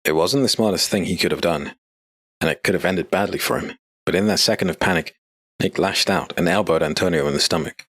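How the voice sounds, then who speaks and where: Low-pitched male audiobook narrator. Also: a little fast, a bit bright, breathy edge, no echo. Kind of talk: scripted dark fantasy story narration.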